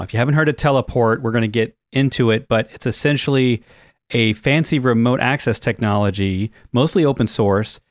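A man's voice: mid-range voice, dry recording, studio quality. A man hosting a tech podcast, explaining things in a casual, conversational way. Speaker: podcast host.

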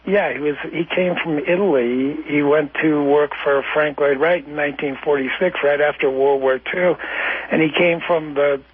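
A man answering in an interview as an architect and historian, careful and halting, over a phone line. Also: a little high, fairly even intonation, husky. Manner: halting, careful, restarts phrases. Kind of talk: unscripted explanatory interview answers. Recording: narrow tinny phone line.

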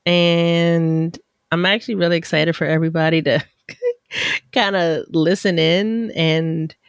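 Low-voiced upbeat woman podcast host. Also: slow pace, clear, resonant tone, dry recording, studio quality. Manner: upbeat, lively and conversational. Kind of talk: casual podcast chat.

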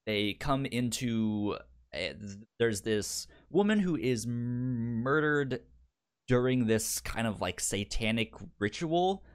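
A man co-hosting a podcast in casual chat, halting and animated, with a frequent stutter on sounds and words. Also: mid-range voice, clean tone. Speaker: podcast co-host.

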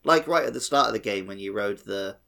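A man's voice: on the low side, expressive, brisk, gravelly, sharp tone. A man co-hosting a gaming podcast in casual conversation. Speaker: podcast co-host.

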